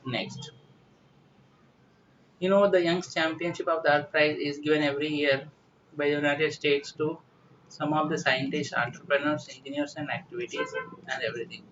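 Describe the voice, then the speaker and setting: A man's voice: a little high, steady tone, normal speed, pauses often, fairly bright tone. Distant-sounding Indian man teaching. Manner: repetitive, instructive, with "you know" tags. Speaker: exam-prep teacher. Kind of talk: informal explanatory current affairs lecture. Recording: distant mic, muffled lo-fi, faint noise.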